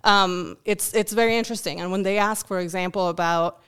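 A woman's voice: mid-range voice, fairly even intonation, a little fast, a bit bright, clean tone. A woman from Uruguay whose first language is Spanish, speaking English with a Uruguayan Spanish accent as a podcast guest, thoughtful, with many ums and restarts. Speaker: guest, food bank volunteer. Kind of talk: podcast interview.

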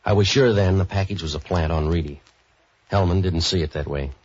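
A man playing a street-wise, cynical man for hire in a 1940s radio detective drama, with deadpan, clipped wisecracks. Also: deep voice, fairly quick, husky. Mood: deadpan narration. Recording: dull old radio recording.

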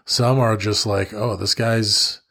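Young guy, gravelly and lowish, blunt, swears a ton, laughs easy. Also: fairly even intonation, takes it slowly, sharp tone. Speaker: podcast co-host. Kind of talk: casual chat.

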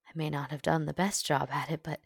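A woman's voice: sits low, little pitch movement, brisk, creaky, breathy edge, no room sound, full character voice. A woman voicing an earnest, stubborn young heroine in a dramatic story, speaking emphatically and with open emotion. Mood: fierce, pleading.

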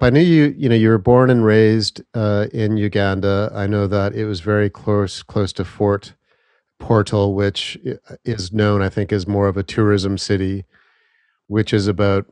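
A man hosting a podcast, introducing his guest and asking interview questions in a friendly, measured way with some hesitations. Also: lowish voice, a little mellow, no room sound. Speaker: podcast host.

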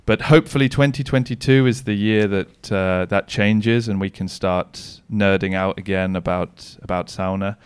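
A man's voice: fairly low pitch, steady tone, moderate pace, few pauses, smooth, dry recording. A man talking casually as a podcast guest over a remote call, rambling with many restarts and fillers. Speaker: podcast guest, sauna business owner. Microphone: remote recording call.

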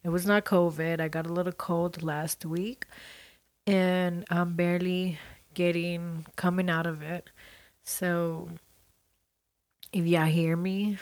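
Woman, 30s, podcast host, stuffy from a cold, slow and reflective, lowish voice. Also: flat delivery, darkish tone, dry recording. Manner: unhurried, reflective, trails off and restarts. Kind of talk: casual storytelling monologue.

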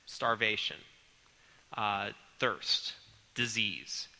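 A man with a cold, sounding congested, teaching a church class in an earnest, halting way with many ums and restarts. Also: lowish voice, bright, forceful tone, long reverb tail, rough recording. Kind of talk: recorded church class lecture.

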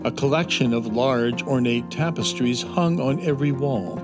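A man narrating a children's fantasy audio drama in a measured, descriptive way. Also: medium pitch, over music. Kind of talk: scripted storybook narration.

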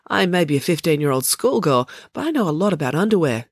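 A woman's voice: sits low, big pitch swings, fast, no room sound, clean studio sound, full character voice. A woman voicing a cheeky, forthright fifteen-year-old schoolgirl in a storybook, breezy and unabashed.

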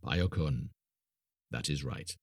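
A man voicing a stern ancient male magician in a storybook reading, speaking in slow, grave pronouncements. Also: creaky, dry recording, studio quality, exaggerated voice. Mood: solemn. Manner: slow, low and solemn pronouncements.

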